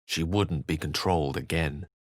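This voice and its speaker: An actor playing a scholarly, self-assured and romantic human wizard in a video game, with an eloquent, theatrical way of speaking. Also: male voice, rumbling low, normal speed, no room sound, studio quality. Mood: grave, narrating. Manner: eloquent, theatrical, ornate phrasing. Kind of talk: video game character lines and narration.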